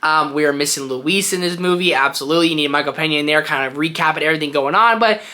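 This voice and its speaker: Male review host: bright, punchy voice, casual and opinionated, loose rambling delivery with emphasis. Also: a little high, fast. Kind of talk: casual opinionated movie ranking to camera.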